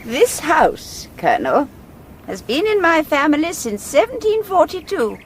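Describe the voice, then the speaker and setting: A woman's voice: high voice, huge pitch swings, measured pace, a little hiss or hum, exaggerated voice. Lilting, genteel elderly lady schemer. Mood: grave, determined. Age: older. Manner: polite, precise, firm. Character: genteel, composed elderly lady masterminding a robbery. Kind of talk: radio drama acting.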